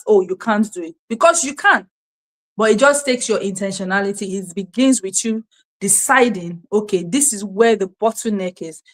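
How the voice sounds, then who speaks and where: Nigerian woman coaching a livestream. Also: mid-range voice. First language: Yoruba. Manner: repeats key words, asks the audience rhetorical questions. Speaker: livestream host and self-help coach. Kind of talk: motivational livestream talk. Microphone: streaming setup, talking to camera.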